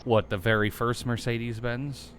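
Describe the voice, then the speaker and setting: A man's voice: medium pitch, level tone, moderate pace, noisy background. A young man co-hosting a podcast, rambling and joking in casual chat, stumbling for words. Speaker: podcast co-host.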